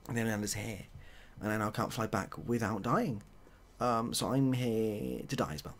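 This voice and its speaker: A man playing a sceptical, blunt male seagull in a storybook tale, with a deadpan manner. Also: on the low side, moderate pace. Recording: clearly audible background noise.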